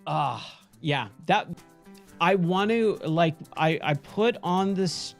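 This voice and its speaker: Hoarse man streamer, faint music behind. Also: a little high, lively intonation, slow pace. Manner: chatty, rambling, frequent self-corrections. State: hoarse, losing his voice. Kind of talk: casual end-of-stream chat with viewers.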